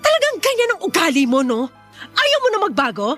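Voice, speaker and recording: A woman's voice: very high voice, wildly swooping pitch, fairly quick, a bit bright, faint music behind, exaggerated voice. An actress with a Filipino accent playing an exasperated, confrontational woman neighbour in a radio drama. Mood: hurt, indignant. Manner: indignant, confrontational, demanding.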